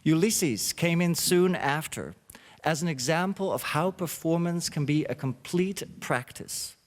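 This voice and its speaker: A man's voice: fairly high pitch, expressive. Man introducing a speaker in a hall. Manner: halting, with frequent uh fillers. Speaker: symposium host. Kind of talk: read introductory speech. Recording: long hall reverb.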